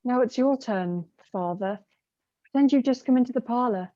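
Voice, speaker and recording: A woman acts as a defiant young daughter in a theatrical play, sardonic and bitter. Her voice is fairly high and soft-edged, heard over slightly muffled video-call audio. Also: moderate pace, natural voice. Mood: imperious, goading.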